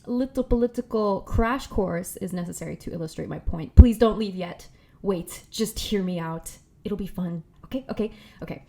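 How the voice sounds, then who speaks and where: A young woman talking through a casual commentary video essay in a chatty, slangy way with animated asides. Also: medium pitch, moderate pace, gravelly.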